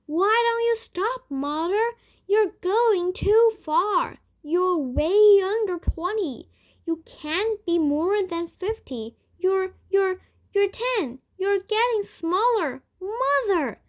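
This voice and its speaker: Anxious storybook mom, played by a woman reader, shrill, very high, pleading, exclaiming. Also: lively intonation, slow, full character voice. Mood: alarmed. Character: anxious grown daughter and mother, shrill and exclaiming. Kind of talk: children's storybook read aloud.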